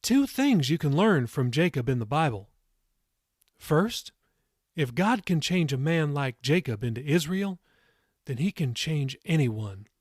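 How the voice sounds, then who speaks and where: A man's voice: a little high, very animated, measured pace, slightly rough, dry recording, studio quality, pitched above the performer's own voice, full character voice. A man voicing a kindly old farm woman with a folksy, teasing manner in an audiobook story. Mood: folksy, encouraging.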